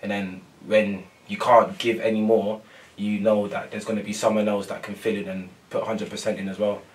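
A young man and footballer, soft-spoken and full of ums and repeats, answering post-match questions in a press room. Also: lowish voice, level tone, moderate pace, recorded from a distance, rough recording. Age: young adult. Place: press room before a sponsor board.

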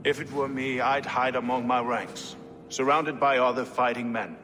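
An actor playing a hard, cynical medieval warrior in a game cutscene, with a blunt, wry and sceptical way of talking. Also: male voice, mid-range voice, steady tone, moderate pace, lightly acted. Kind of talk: dramatic game cutscene acting. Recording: reverberant hall, faint ambient noise.

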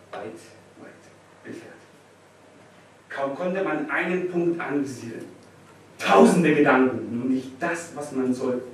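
A man's voice: medium pitch, a little mellow. Young man on stage, very echoey. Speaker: poetry slam performer. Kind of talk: live spoken-word poetry slam performance. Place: indoor small venue stage before an audience. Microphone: distant camera microphone. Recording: distant, very echoey, noisy room recording.

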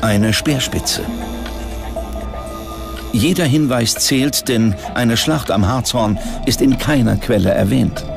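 A man's voice: medium pitch, slow pace, creaky. A man reading scripted documentary narration as a narrator. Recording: music behind the voice. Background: background music.

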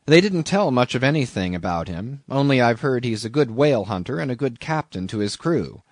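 A man's voice: mid-range voice, very animated, a little fast, dry recording, studio quality. A man voicing a plain-spoken, skeptical and impatient sailor in an audiobook. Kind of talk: audiobook character reading.

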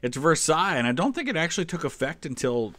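A man's voice: a little high, big pitch swings, fast, fairly bright tone, no echo. A man in his forties giving casual, conversational history commentary as a video host, explaining as he reacts.

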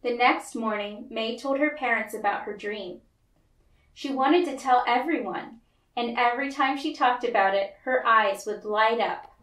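A woman reading a picture book aloud to children, with deliberate, expressive storytelling narration. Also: fairly high pitch. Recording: roomy, echoey, distant mic.

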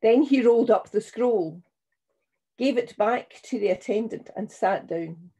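An older woman minister reading scripture and preaching in a solemn, measured, deliberate way. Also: on the high side, dark tone, slightly rough, poor audio. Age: 60s or older. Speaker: minister leading a church service. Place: small room at home, indoors.

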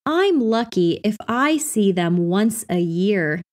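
A woman reading everyday practice phrases for an English lesson in a clear, carefully enunciated scripted delivery. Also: medium pitch, big pitch swings, slow pace, a little mellow.

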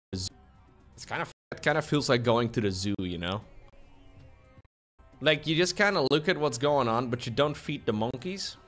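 A man's voice: medium pitch, fairly bright tone. A young man streaming from a small room at home, chatting casually and jokingly while reading chat aloud. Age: young adult. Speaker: streamer editing a video. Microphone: desk mic, wearing headphones, webcam framing.

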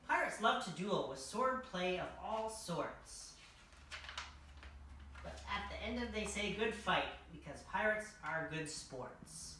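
A young adult hosts a children's story time, reading aloud in a bright voice. The recording is distant and very echoey, with faint noise behind it. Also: medium pitch, lively intonation, takes it slowly. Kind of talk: read-aloud children's storytelling in costume as a king. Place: large furnished room indoors. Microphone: distant camera microphone.

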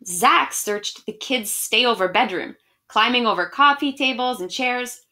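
A woman in her thirties with a slightly high voice speaks to children as in a storytime at home. She addresses her young listeners directly and reads with expression. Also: a little slow, bright, forceful tone. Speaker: education director reading picture books online to children. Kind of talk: children's storybook read-aloud and friendly chat to viewers. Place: small room at home, indoors.

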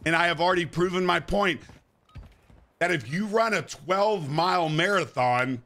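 Man, 30s, game streamer, crude joking banter, swearing, bright voice, slightly high. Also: normal speed. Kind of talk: casual live-stream chat while gaming. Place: indoor room at a gaming chair.

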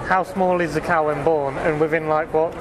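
A man in his twenties with a slightly high voice talks easily, stopping and restarting as he explains. Loud street noise presses in behind him. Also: moderate pace. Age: 20s. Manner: relaxed, explains with hesitant restarts. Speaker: passer-by interviewee. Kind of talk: casual street chat. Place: busy pedestrian street outdoors.

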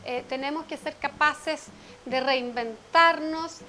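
High-voiced woman hosting a TV interview. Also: takes it slowly, slight background noise. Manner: warm-up introductions, leading questions. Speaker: talk show host. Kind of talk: television interview. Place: TV studio living-room set.

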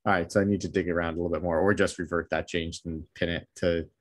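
Man, deep low voice, hesitant, lots of ums, video call from home. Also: level tone, fast talker. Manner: hesitant, many fillers, thinking aloud. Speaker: meetup attendee asking for help. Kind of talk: casual video call chat.

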